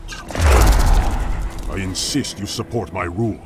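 An actor playing a proud, regal warrior emperor in a video game, speaking in formal, solemn, menacing lines. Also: male voice, deep voice, slow pace, loud music behind the voice. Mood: stern, threatening. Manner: formal, solemn, stately threats. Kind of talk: video game character dialogue.

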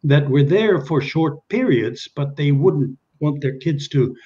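Older man, halting, dull call audio. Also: a little high, animated, warm tone. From Kenya. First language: Czech. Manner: rambling, many hesitations and restarts. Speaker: interview guest. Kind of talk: video call interview. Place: small indoor room at home. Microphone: webcam on a video call.

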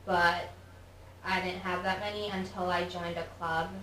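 Chatty young woman, bright roomy sound. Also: on the low side, level tone, measured pace, recorded from a distance, a little hiss or hum. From United States. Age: young adult. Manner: chatty, many "like" fillers. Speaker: guest. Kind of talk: casual interview chat. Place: studio with office chairs.

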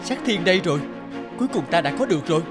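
A man's voice: high-pitched, lively intonation, very fast. A man voicing a determined young village man in a cartoon, earnest and respectful. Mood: triumphant. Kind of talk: cartoon voice acting. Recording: voice under very loud music.

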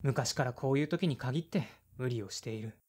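A man's voice: medium pitch, brisk, heavy background noise, lightly acted. A man playing a blunt, awkward man of thirty-five in an audio drama, in casual dialogue and brooding narration. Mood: reflective. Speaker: lead character and narrator.

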